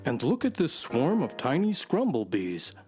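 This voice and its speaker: A woman's voice: very deep voice, wildly swooping pitch, measured pace, mellow, soft background music, heavily acted. A woman playing a loyal, observant talking space horse in a children's radio drama, earnest and dramatic. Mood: earnest concern.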